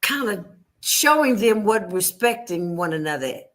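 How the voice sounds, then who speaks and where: A woman's voice: medium pitch, expressive, unhurried, a bit bright. A woman host with a Louisville, Kentucky accent, chatting in an affirming, conversational way during an interview.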